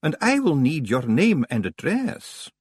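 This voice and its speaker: A man voicing a brisk, unflappable woman librarian with a businesslike, polite manner in a storybook reading. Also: on the high side, huge pitch swings, darkish tone, husky, no echo, pitched above the performer's own voice, full character voice.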